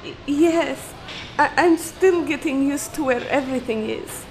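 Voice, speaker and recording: A woman's voice: sits high, unhurried, background noise. A woman playing a timid, devoted young maid in a radio play, deferential and hesitant. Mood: shy.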